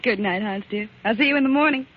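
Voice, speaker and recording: A woman's voice: mid-range voice, fast, highs cut off, close to an everyday voice. An actress playing an earnest, devoted young woman in a 1940s radio drama, sincere and insistent. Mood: earnest, resolved. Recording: thin, noisy 1940s radio recording.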